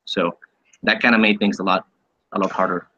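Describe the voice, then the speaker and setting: A man's voice: sits low, steady tone, fairly quick, bright, forceful tone, dull audio, slight background noise. A man in his twenties from Venezuela, a guest on an interview podcast, explaining at length with frequent fillers and repeated words. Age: 20s. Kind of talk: casual interview.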